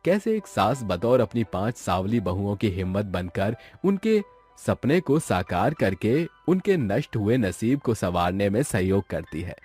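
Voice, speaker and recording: A man narrates a scripted tale in a lowish voice. His delivery swings in pitch and is lively, with loud music behind him. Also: unhurried, breathy edge.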